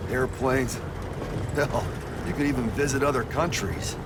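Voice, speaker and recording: Male actor as world-weary crewman, middle-aged, medium pitch, blunt, folksy, game cutscene, very noisy vehicle background. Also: a little slow, lightly acted. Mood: bitter, reflective. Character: brash, world-weary veteran male corpse-disposal crewman. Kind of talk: cinematic video game acting and narration. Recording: loud vehicle and engine noise.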